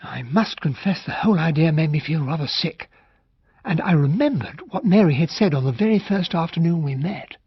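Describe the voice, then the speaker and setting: An earnest English gentleman officer from a period radio drama, slightly dense and very proper. His husky voice sits fairly high and moves about excitably, on a slightly dull recording. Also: moderate pace, dry recording. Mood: brooding narration. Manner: proper, excitable, old-fashioned gentlemanly speech. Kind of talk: radio drama dialogue and first-person narration.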